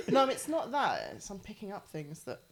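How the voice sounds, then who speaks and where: A woman's voice: mid-range voice, huge pitch swings, a little slow, a crowd in the background, rough recording. Laughing woman, probing questions. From Forest Gate, East London. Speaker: guest, astrology reader. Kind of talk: casual podcast chat.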